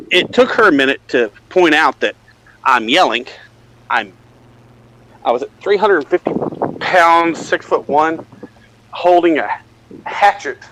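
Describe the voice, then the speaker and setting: A man's voice: on the high side, expressive, slow pace, long pauses, pushed and bright, poor audio. A middle-aged man telling a rambling, self-deprecating anecdote in a casual way, acting out bits of dialogue. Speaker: storyteller.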